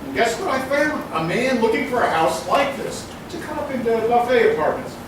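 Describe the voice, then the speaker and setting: A man's voice: very high-pitched, big pitch swings, fairly quick. A man playing a blustery, exasperated older family judge in a live radio-play comedy, emphatic and huffing. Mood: flustered. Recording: echoey hall, far mic, noisy.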